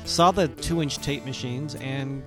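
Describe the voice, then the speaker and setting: Male podcast host, middle pitch, casual outro, acoustic guitar playing clearly behind. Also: takes it slowly. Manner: friendly sign-off, relaxed run of plugs. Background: guitar music.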